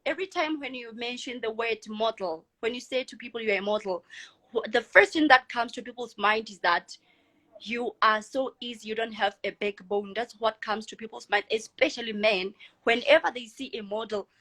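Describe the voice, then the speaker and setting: Young woman, higher voice, self-assured, laughs a lot. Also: moderate pace, rough recording. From Lesotho. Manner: confident, expressive, laughs often. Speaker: guest, model and entrepreneur. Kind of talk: casual interview answers.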